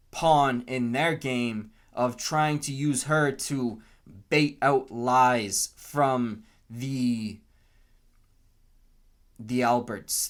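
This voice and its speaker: Slow, deliberate young American man. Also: medium pitch. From Canton, Massachusetts, US. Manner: earnest, deliberate, repeats phrases for emphasis. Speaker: podcast host. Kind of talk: unscripted opinion monologue.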